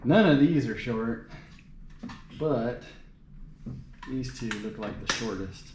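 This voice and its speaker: A man's voice: mid-range voice, animated, slow pace. A man thinking aloud in short, unscripted fragments as he does hands-on mechanical work for a vlog. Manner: muttering to himself in short fragments while working. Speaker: amateur mechanic narrating his car repair. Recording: roomy, echoey, noisy workshop-like recording.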